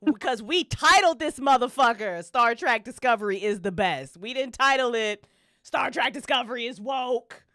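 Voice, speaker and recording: A woman's voice: fairly high pitch, lively intonation, normal speed, bright, forceful tone, no echo, studio quality. A woman co-hosting a podcast, chatting casually in a blunt, slangy, emphatic way with plenty of swearing. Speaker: podcast co-host. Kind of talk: casual chat.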